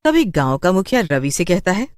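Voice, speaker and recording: Dry, low-voiced female story narrator. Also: sing-song, normal speed, no room sound. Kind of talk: scripted horror story narration.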